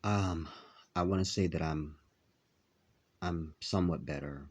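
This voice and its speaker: A man talking to his viewers in a halting vlog, with a nasal, congested voice as he recovers from an illness. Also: very deep voice, breathy edge. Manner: halting, many filler pauses. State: stuffy, congested after illness. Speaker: vlogger. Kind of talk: casual vlog talk to viewers.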